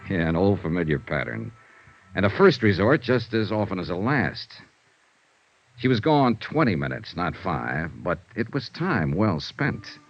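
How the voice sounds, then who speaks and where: An American actor playing a cool, skeptical insurance investigator in a vintage radio noir, with dry, clipped, understated delivery. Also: male voice, sits low, very animated, measured pace, exaggerated voice. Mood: wry, knowing. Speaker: actor in a radio detective serial. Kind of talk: 1950s radio noir acting and first-person narration. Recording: dull vintage radio recording, music behind.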